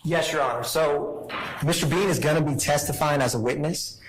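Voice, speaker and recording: A male prosecutor with a moderately high voice addresses a court. His delivery is formal and methodical, and he is deferential as he sets out his legal argument. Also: normal speed, slight background noise, poor audio.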